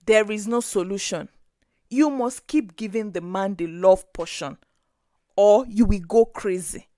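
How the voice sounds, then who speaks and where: A woman's voice: mid-range voice, unhurried, mellow, no echo, clean studio sound, lightly acted. Woman doing stern, grave male village herbalist. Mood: grave warning.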